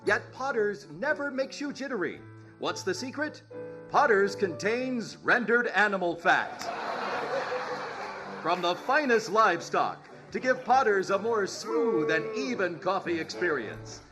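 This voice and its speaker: A man's voice: high-pitched, very animated, a little slow, music in the background. A man playing an upbeat, polished 1930s radio announcer with a salesy delivery. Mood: upbeat, selling.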